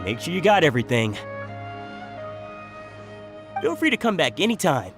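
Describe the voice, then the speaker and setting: Game casting: hospitable male cook-merchant, highish voice, hugely animated pitch, easygoing and jovial. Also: normal speed, over music. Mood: generous, jovial. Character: jovial, generous food vendor, confident and hospitable. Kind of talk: English video game voice acting.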